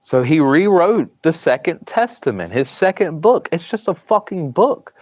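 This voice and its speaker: A man with a thick Southern US accent rambles through a casual livestream, restarting sentences and trailing off into low mumbles. The sound is dry and a little dull. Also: sing-song, moderate pace, no echo. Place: hospital room.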